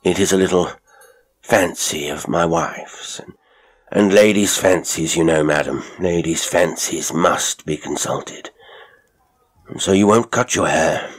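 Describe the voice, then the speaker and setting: A man voicing a fussy, wheedling middle-aged gentleman employer in an audiobook, with a husky voice and drawn-out, repetitive phrasing. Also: deep voice. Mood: coaxing, insistent. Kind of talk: audiobook character acting.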